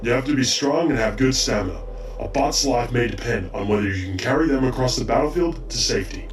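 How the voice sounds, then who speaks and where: A man's voice: medium pitch, lively intonation, a little fast, slight background noise, natural voice. Man acting a stern, haughty drill-instructor medic, roomy sound. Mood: brisk, instructive. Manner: stern, commanding, exacting. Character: haughty, drill-sergeant male robot chief medic training recruits. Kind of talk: amateur audio drama acting. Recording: roomy, slightly distant mic, faint music.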